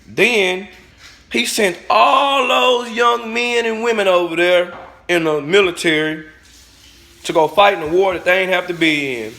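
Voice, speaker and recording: High-pitched man, vlogger, blunt profane rant to camera. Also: a little slow, sharp tone. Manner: blunt, profane, preachy, talks straight at the viewers. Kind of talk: ranting monologue to camera.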